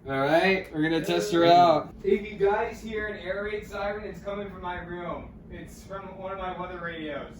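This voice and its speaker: A man's voice: high voice, moderate pace, few pauses, slight background noise, low-quality recording. A man hosting a casual unboxing video from his room, jokey and chatting to viewers and friends. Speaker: host of a radio unboxing video. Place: a room at home.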